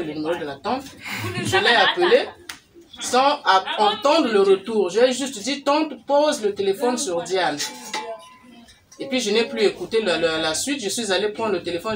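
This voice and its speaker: A middle-aged woman with a Congolese accent telling a personal religious testimony in a spontaneous storytelling way. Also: mid-range voice. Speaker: church member giving testimony. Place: small living room indoors. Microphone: camera microphone from a short distance. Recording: distant mic, other voices behind.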